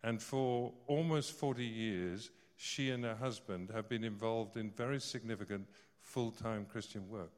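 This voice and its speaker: A man's voice: on the low side, unhurried, hardly any pauses. Older guy preaching in a big church, tells stories, cracks little jokes, lots of echo. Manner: storytelling with joking asides. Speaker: visiting preacher. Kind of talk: sermon. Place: church service hall. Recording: long reverb of a large hall.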